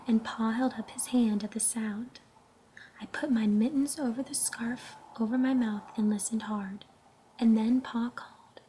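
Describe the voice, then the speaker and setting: A woman reading a children's story aloud in a gentle, hushed, unhurried way, pausing to put questions to her listeners. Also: fairly high pitch, a little hiss or hum. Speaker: storybook reader.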